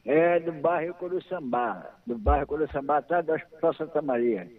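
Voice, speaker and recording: A man's voice: a little high, normal speed. A man, calling in to a radio show and chatting casually, repeating himself and laughing easily. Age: 60s. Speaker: radio call-in caller. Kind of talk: casual phone chat. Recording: tinny phone line.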